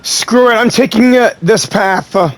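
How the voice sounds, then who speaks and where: A man's voice: sits high, measured pace. A man giving casual gameplay commentary, reacting and thinking aloud as he plays. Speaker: gamer playing on camera or stream. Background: faint game music.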